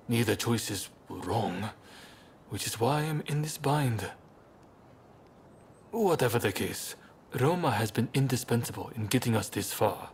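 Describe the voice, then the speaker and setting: An actor playing a composed, diplomatic young samurai leader in a video game cutscene, speaking in a measured, polite, thoughtful way. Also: male voice, medium pitch, darkish tone, a little hiss or hum.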